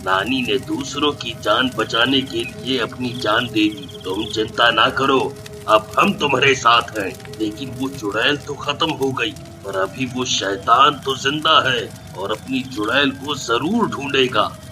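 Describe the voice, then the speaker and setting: A man's voice: medium pitch, unhurried, music in the background, pitched below the performer's own voice, natural voice. A man voicing a loyal young village man, earnest and solemn, in a storybook tale. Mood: solemn, resolute.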